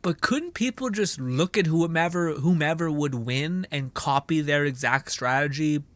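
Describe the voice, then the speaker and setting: A man's voice: fairly high pitch, moderate pace, fairly bright tone, dry recording. A young man giving casual, irreverent commentary while streaming a game, often swearing and mock-quoting.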